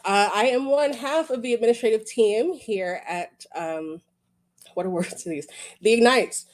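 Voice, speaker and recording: A woman's voice: a little high, moderate pace. A woman presenting at an awards show in a casual, off-the-cuff way as one of its organisers.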